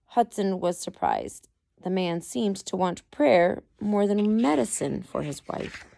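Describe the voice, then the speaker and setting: A woman's voice: medium pitch, vocal fry, warm tone. A woman narrating a story aloud from a book, reading it expressively. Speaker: amateur audiobook reader.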